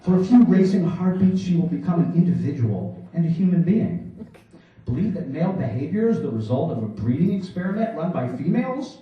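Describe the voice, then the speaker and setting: A man's voice: a little high, sing-song, brisk. A man giving a theatrical live poetry reading on stage, with a mock-serious, declamatory delivery. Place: small theater in New York, before a laughing audience. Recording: echoey hall, distant dull microphone.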